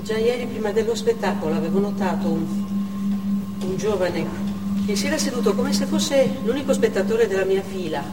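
An actress playing a shaken female hostage, telling her story in a halting dramatic stage monologue. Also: medium pitch, heavy background noise, lightly acted. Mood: uneasy. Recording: echoey hall, far mic, loud music.

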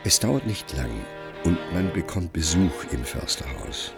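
An actor narrating a literary radio drama as a measured storyteller of a romantic tale. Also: male voice, deep voice, animated, creaky, exaggerated voice. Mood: measured storytelling. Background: music under the narration.

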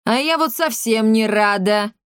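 A woman's voice: a little high, measured pace, clear, resonant tone, no room sound, clean studio sound, close to an everyday voice. A woman voicing a tiny old mother in a storybook, domineering, scolding and theatrically outraged. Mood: spiteful.

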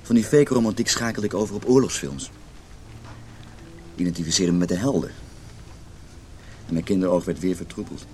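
A man's voice: sits low, a little fast, pauses often, a little mellow, faint music behind, close to an everyday voice. An actor in a Dutch radio drama playing a brooding, wounded, intense man in a failing marriage. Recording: noisy radio play recording.

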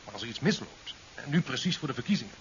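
A man's voice: mid-range voice, very animated, quick pace. A man playing a sceptical, career-minded president in a 1970s science fiction radio play, formal and dry. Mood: businesslike, official. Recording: dull 1970s radio recording with hiss.

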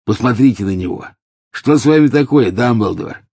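A heavy dark wizard sneers in a raised, loud voice. A man plays him husky and low-set, dry and close. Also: expressive, normal speed, dry recording. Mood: mocking. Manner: mocking.

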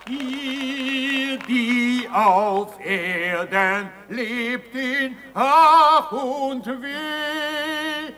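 An actress playing a mocking street ballad singer in a radio play, half singing and declaiming to the common folk. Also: female voice, high voice, extremely slow, fairly bright tone, faint music behind, a faint crowd, full character voice. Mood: rousing. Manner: declamatory, theatrical, half sung.

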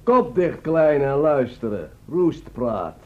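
An actor playing a dim, blustering castle lord, cowardly underneath, blunt and halting, in a radio drama. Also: male voice, mid-range voice, very animated. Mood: bullying. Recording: muffled old radio recording.

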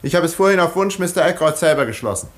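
Country doctor, played by a man, clipped and commanding, slightly high male voice, roomy old radio recording. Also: animated, quick pace, a little hiss or hum, close to an everyday voice. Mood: grave, clipped. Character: urgent, educated male English country doctor. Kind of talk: 1950s German radio play acting.

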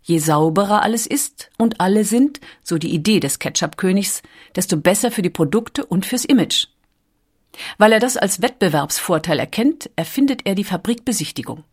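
A woman's voice: medium pitch, lively intonation, normal speed, slightly rough. A woman reads scripted documentary narration as the narrator.

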